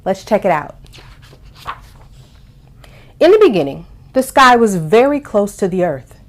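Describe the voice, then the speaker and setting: A woman's voice: mid-range voice, very animated, a little slow. A woman hosting a children's storytime, explaining and reading aloud expressively, stressing and stretching key words for young listeners. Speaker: host of a children's storytime show.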